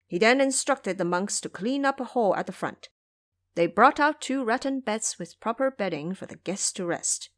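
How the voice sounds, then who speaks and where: A woman narrates an audio drama at a middle pitch. Her delivery is scripted storytelling, shaped dramatically for a fantasy tale. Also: lively intonation, moderate pace.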